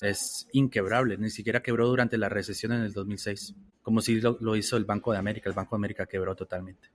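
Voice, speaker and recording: A man from Costa Rica answering interview questions in a measured, reflective way, often restarting his phrases. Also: fairly low pitch. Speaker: interview guest.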